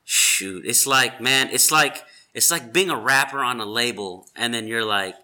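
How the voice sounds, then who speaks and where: A man's voice: medium pitch, unhurried, pushed and bright. A man who is a chef, talking casually and animatedly, rambling with lots of fillers. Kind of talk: casual conversation.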